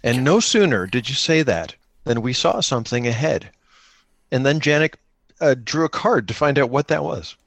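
A man's voice: medium pitch, animated, moderate pace, gravelly, fairly bright tone, no room sound. A man co-running an improvised tabletop game, narrating casually and thinking aloud in a joking way. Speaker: co-player running a solo-engine tabletop game.

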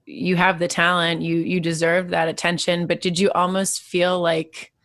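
A woman's voice: lowish voice, level tone, normal speed, a bit bright. A young woman from Texas interviewing a fellow musician, asking thoughtful, probing questions. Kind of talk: conversational interview.

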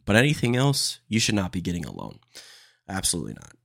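Gravelly nasal young man ranting. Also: deep voice, huge pitch swings, quick pace, a bit bright. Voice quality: nasal from allergies. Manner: blunt, opinionated, says "dude" a lot. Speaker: podcast host. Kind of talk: casual comedy podcast rant.

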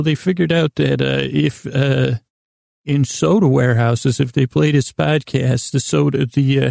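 A man with an American accent and a breathy, creaky voice hosts a sleep podcast, delivering a halting, meandering, whimsical bedtime monologue full of stammers and self-interruptions. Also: medium pitch, mellow, no room sound, studio quality.

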